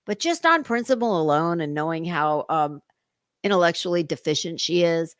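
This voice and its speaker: A woman's voice: lowish voice, expressive, normal speed, no echo, clean studio sound. A woman giving casual reaction commentary as a livestream host, sarcastic, mocking and foul-mouthed. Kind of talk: casual reaction commentary over a played clip.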